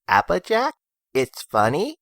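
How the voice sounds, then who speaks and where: A man's voice: high-pitched, wildly swooping pitch, measured pace, a bit bright, no room sound, studio quality, heavily acted. A man voicing a hyperactive, childlike young colt in a storybook, playful and babbling in a sing-song way. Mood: amused. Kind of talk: audio storybook character acting.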